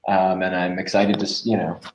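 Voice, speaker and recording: Low-voiced man in his twenties, echoey room. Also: flat delivery, a little fast, a little hiss or hum. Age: 20s. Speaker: voice actor and podcast producer, interviewed guest. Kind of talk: casual interview chat. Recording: roomy, echoing sound.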